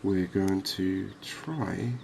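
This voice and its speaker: A man giving quiet, cozy ASMR commentary on a game, unhurried and thinking aloud. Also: low voice, creaky, a little hiss or hum. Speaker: gaming video host.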